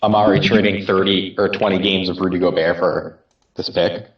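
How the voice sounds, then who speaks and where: A man with a fairly low voice makes casual sports talk on a podcast. He sounds somewhat distant, with a muffled, echoey recording. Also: fairly even intonation, normal speed. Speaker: podcast panellist. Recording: loud, roomy, muffled, off-mic.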